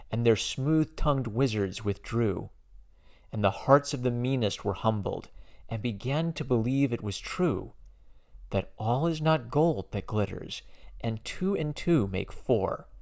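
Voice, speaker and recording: A man giving a dramatic poetry reading with a gravelly voice and measured, declamatory phrasing with emphatic stresses. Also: fairly low pitch. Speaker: poetry reader.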